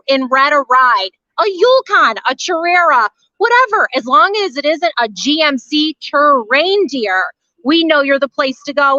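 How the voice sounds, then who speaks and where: A worn-out but sassy reindeer played by a woman makes a chatty plea in a comic radio spot. The voice is high and bright. The audio is dry and a little muffled. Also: dry recording. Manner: pleading, chatty, reading out a letter. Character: exhausted, sassy sleigh-pulling reindeer writing a letter.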